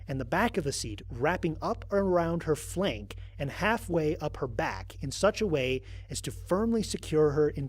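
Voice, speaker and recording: A man's voice: fairly high pitch, slightly rough, no room sound. A man playing a wry, analytical stranded space explorer narrating his mission log in an audio drama in a matter-of-fact way. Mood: curious, wry.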